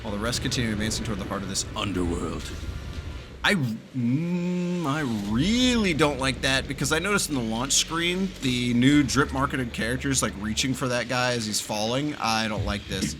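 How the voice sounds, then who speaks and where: A young man casually reacting to a game as he plays, chatty and excitable, with the odd swear word. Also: medium pitch, moderate pace, music in the background.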